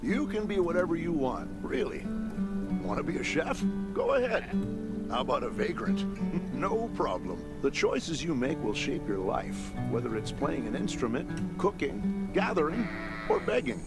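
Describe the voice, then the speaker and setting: A man's voice: on the high side, big pitch swings, measured pace, loud music behind the voice. An actor playing a friendly male survivor in a game cutscene, explaining things to a newcomer in an easygoing, upbeat way. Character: friendly male shelter survivor, chatty helper.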